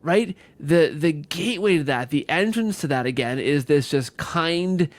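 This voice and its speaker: A man hosting a conversational interview, talking haltingly, repeating words and often checking in with "right?". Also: a little high, lively intonation. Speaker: podcast host.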